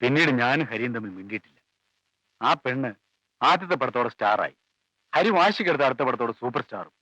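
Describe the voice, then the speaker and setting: An actor playing a composed male friend who calmly explains past events to a questioner in a 1980s film. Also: mid-range voice, quick pace, no room sound. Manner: calm, explanatory. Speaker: family friend recounting events. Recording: dull, dry 1980s film soundtrack.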